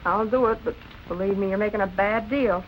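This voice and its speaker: A woman's voice: medium pitch, a little fast, muffled recording, a little hiss or hum, close to an everyday voice. An actress playing a wry, wisecracking nightclub photographer girl in a 1940s film noir style. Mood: skeptical.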